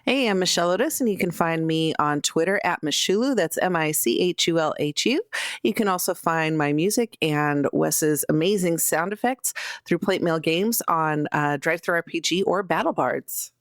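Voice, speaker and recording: Low-voiced woman, dry close recording. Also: animated, moderate pace, dry recording. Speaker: player on actual-play podcast. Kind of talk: casual chat.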